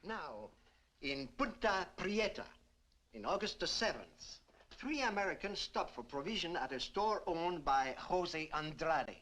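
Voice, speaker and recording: An actor playing a formal, precise official delivering a manhunt report in a 1950s film. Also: male voice, on the high side, sing-song, measured pace, a bit bright, slight background noise. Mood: businesslike, official.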